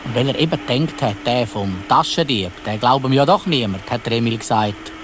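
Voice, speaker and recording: A man's voice: medium pitch, animated, brisk, background noise. A man with a Swiss German accent narrating a dramatised children's story, addressing young listeners directly.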